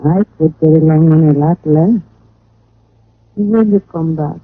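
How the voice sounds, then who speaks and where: Husky seductress, coy, scheming, flirtatious, 1940s radio drama style, dry tinny recording. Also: female voice, sits low, measured pace, dry recording.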